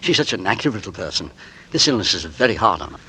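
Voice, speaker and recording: An actor playing a polite, nervous husband who narrates a 1940s radio drama. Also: male voice, on the low side, big pitch swings, fast talker, pushed and bright, a little hiss or hum, heavily acted, low-quality recording. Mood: genteel. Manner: genteel, polite, nervously hesitant. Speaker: narrator and lead. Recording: old radio broadcast with music.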